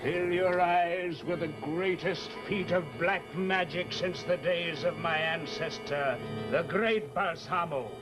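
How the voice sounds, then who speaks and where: Grandiose evil magician, old radio horror, slow high-pitched menace, music behind. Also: male voice, fairly even intonation, low-quality recording. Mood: gloating. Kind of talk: 1940s radio horror acting.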